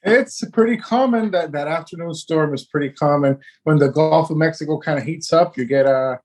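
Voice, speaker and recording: A man's voice: fairly high pitch, normal speed. Laid-back man telling stories. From Cuba, raised in Miami. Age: 30s. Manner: relaxed, laughs while telling stories. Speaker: guest. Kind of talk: casual interview storytelling.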